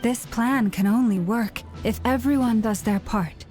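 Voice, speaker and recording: A woman plays a composed, courteous leader of a devout order in a video game. Her tone is mellow and measured, formal in every phrase, over faint music. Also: on the high side, close to an everyday voice. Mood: calm, serious. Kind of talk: English game dub acting. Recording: music behind the voice.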